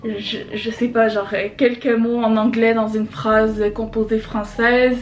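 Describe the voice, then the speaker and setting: A woman's voice: on the high side, level tone, unhurried, slight background noise. A young woman with a Québécois French accent, chatting casually through a makeup tutorial, hesitating often and slipping in English words. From Quebec, Canada. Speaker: beauty vlogger. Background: background music.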